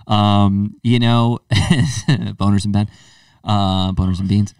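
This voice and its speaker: A man's voice: lowish voice, fairly even intonation, takes it slowly, darkish tone, clean tone, no echo, clean studio sound, recorded from a distance. A young man co-hosting a casual podcast, joking and putting himself down, laughing often. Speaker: podcast co-host. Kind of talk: casual chat.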